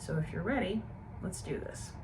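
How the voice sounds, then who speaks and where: A woman with a stuffy, nasal voice from a cold, hosting a tea vlog in a gentle, savoring way and leading a guided breathing meditation. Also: fairly low pitch, lively intonation, moderate pace, background noise. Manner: gentle, savoring, softly enthusiastic. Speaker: tea and book channel host.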